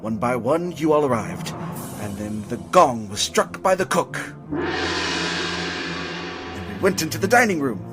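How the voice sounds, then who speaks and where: Casting: male actor for an excitable, formal butler, very lively pitch, theatrical comic mystery delivery over music. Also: a little slow, pauses often. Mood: brisk explaining. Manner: theatrical, formally polite, flustered. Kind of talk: comic audio drama acting. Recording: music bed under dialogue.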